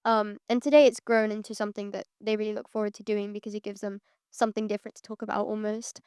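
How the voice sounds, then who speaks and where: Smooth-voiced young woman, flat delivery. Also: mid-range voice, no echo, studio quality. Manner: measured, frequent um fillers. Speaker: student panelist. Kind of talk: answering panel questions.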